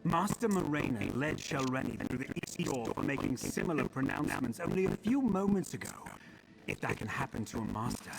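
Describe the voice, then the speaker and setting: An actor playing a stubborn, condescending wizard lord in a video game, with a sneering, pompous manner. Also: male voice, medium pitch, sing-song, fairly quick, vocal fry, over a noisy crowd, rough recording. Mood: smug disdain. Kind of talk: video game character dialogue.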